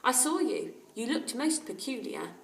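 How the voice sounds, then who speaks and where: Woman voicing a lively, emphatic schoolboy in an echoey room. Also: a little high, unhurried, vocal fry, pitched above the performer's own voice, exaggerated voice. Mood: teasing. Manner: emphatic, exclamatory. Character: lively, teasing schoolboy with bossy streak. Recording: roomy echo, distant microphone.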